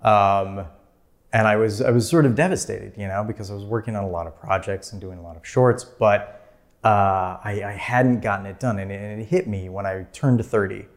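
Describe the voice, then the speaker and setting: Thirties male filmmaker guest, lower voice, loose chatty delivery full of fillers. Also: fairly quick. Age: 30s. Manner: rambling, many "like" fillers, laughs easily. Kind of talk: casual interview storytelling.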